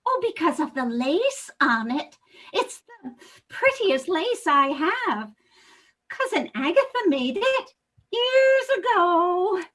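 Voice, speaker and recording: A woman's voice: very high voice, sing-song, takes it slowly, a bit bright, heavily acted. A woman playing a fussy, timid old lady in a comic readers theater piece, with a fluttery, genteel, easily upset manner. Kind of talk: comedic readers theater acting.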